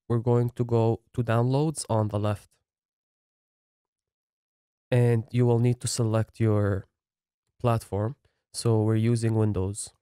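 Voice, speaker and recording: A man's voice: medium pitch, warm tone, smooth, dry recording, clean studio sound. A man narrating a screen-recorded coding tutorial, explaining each installation step plainly. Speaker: software tutorial instructor.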